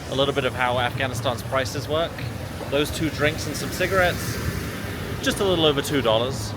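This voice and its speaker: A young man narrating a casual travel vlog with wry, dry, self-deprecating asides, filming on a handheld camera. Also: medium pitch. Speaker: travel vlogger. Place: outdoors on roadsides and streets, then an indoor hotel room. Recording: loud traffic and street noise.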